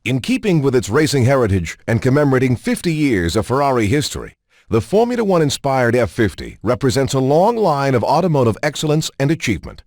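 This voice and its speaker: A man reading scripted promotional narration in a smooth, measured way. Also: mid-range voice, sing-song, darkish tone, dry recording, studio quality.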